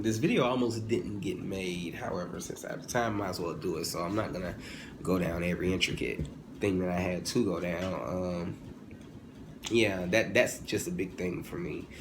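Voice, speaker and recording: A man in his twenties giving a casual, humorous opinion monologue as a vlogger, animated and informal, often digressing and correcting himself. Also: sits low, moderate pace. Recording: clearly noisy, ambient background sound.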